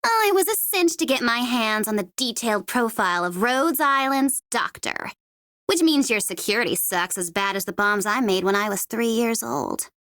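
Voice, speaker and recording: A woman's voice: a little high, lively intonation, normal speed, fairly bright tone, no room sound, clean studio sound. A woman voicing a young female mercenary bomber in a video game, gleeful and taunting, with a playful, sardonic, theatrical delivery. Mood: sly teasing. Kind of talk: video game character voice lines.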